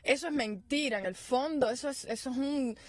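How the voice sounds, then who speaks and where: A woman from Cuba, a guest artist on a radio interview, speaking softly and reflectively with some hesitation. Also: sits high, brisk, no room sound.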